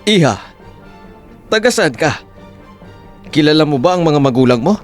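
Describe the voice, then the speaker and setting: A man's voice: fairly high pitch, animated, moderate pace, faint music behind, lightly acted. A man playing an earnest, loyal aide to a rich don in an audio drama, speaking hesitantly and imploringly. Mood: gentle probing.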